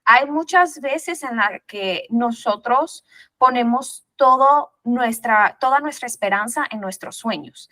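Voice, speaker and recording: A woman hosting a faith podcast, expressive and building to emphatic points as she reflects on the guest's story. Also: on the high side, slow pace. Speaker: podcast host. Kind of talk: podcast interview, reflective preaching.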